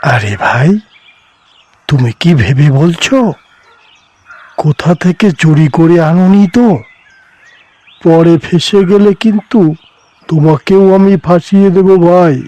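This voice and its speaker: Simple rustic villager for cartoon horror story, male actor, very high and mellow, exclaiming. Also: slow, long pauses, no room sound. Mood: suspicious. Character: simple village men in a goat deal, seller and farmer.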